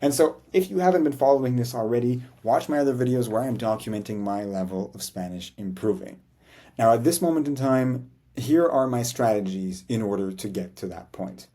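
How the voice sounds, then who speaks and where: A man's voice: mid-range voice, lively intonation, normal speed, warm tone. A man vlogging about learning a language, talking in an earnest, reflective way and often ending thoughts with "right?". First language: English. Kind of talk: casual talking-head vlog.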